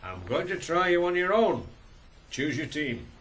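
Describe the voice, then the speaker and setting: Patient countryman, instructive and measured, slightly high voice; faintly noisy radio drama. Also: big pitch swings, fairly bright tone. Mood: calm, instructive. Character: patient countryman teaching horse work. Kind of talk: 1980s radio drama acting.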